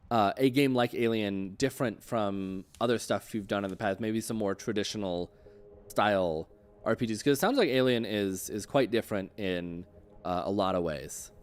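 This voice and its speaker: A man's voice: medium pitch, clean tone. A man hosting a livestream interview, chatting casually as he puts questions to a guest.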